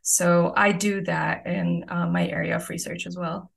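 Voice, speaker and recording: A young woman from Saudi Arabia, a guest physician-scientist, explaining her research slowly, with many ums and uhs. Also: fairly low pitch, flat delivery, vocal fry. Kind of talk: conversational interview answers.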